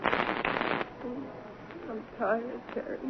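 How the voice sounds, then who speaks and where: An actress in a radio drama playing a bitter, volatile, washed-up older stage actress, theatrical and halting in delivery. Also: female voice, on the high side, animated, creaky, exaggerated voice. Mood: drunken, weary, broken. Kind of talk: 1970s radio drama acting. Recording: dull, noisy old radio recording.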